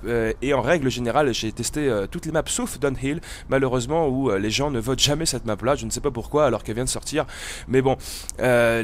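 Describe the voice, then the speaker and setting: A man's voice: medium pitch, slight background noise. A man with a cold giving casual, hesitant, meandering gameplay commentary as the host of a gaming video. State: has a cold.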